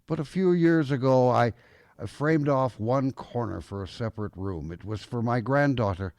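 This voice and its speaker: A man playing a gruff old grandfather with a softening heart in an audio drama, speaking in a folksy, halting way. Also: mid-range voice, no room sound, clean studio sound, natural voice. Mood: gentle, gruff. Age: older.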